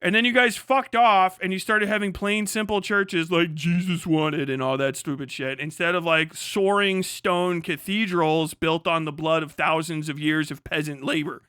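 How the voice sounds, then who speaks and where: A man's voice: on the high side, fairly even intonation, moderate pace, few pauses, pushed and bright, smooth, dry recording, clean studio sound. A man live streaming a casual rant, speaking in a profane, sarcastic, mocking way. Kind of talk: casual livestream rant and commentary.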